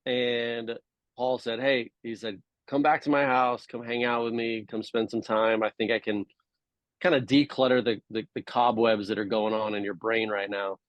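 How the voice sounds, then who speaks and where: Dry-sounding man, casual halting storyteller. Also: mid-range voice, little pitch movement, dry recording. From Hawaii, United States. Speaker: podcast guest, former tour golfer and coach. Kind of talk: casual interview chat.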